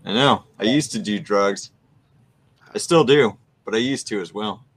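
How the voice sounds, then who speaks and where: A man bantering casually, cracking jokes in a deadpan way. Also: lowish voice, moderate pace.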